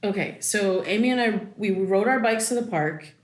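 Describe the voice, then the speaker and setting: A woman's voice: mid-range voice, normal speed, close to an everyday voice. A woman playing a raw, insistent daughter in her thirties in a naturalistic audio drama, speaking in a hesitant, conversational way. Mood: reminiscing, casual. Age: 30s. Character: wounded thirty-three-year-old daughter, insistent and raw.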